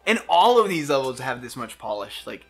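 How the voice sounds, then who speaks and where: A man's voice: medium pitch, very animated, normal speed. A young man co-hosting a gaming video, chatting casually with lots of slang and swearing. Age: young adult. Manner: profane, slangy. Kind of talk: casual gameplay banter.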